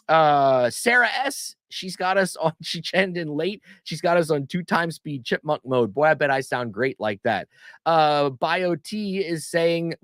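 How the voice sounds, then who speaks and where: A man's voice: on the high side, a bit bright, dry recording. A man hosting a live online show, chatting casually and reading viewer comments aloud with an upbeat manner. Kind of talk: casual livestream chat.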